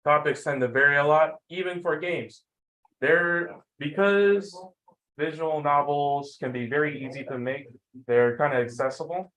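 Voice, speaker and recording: A man's voice: on the high side, fairly even intonation, unhurried, a bit bright, poor audio. Young man giving a rambling informal lecture. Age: young adult. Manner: self-deprecating, rambling. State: sleep-deprived. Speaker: student workshop presenter.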